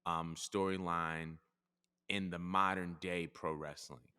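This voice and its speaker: A man with a low voice and a bright, forceful tone speaks in a measured way, weighing each point as he goes. A long reverb hangs around his words. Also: fairly even intonation, clear, resonant tone. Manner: measured, thinks aloud, hedges. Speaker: podcast host. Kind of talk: casual chat.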